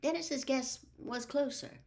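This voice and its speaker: An older woman in her sixties or beyond reading a storybook aloud with expressive, dramatic phrasing. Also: high-pitched, unhurried. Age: 60s or older. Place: small book-lined room at home.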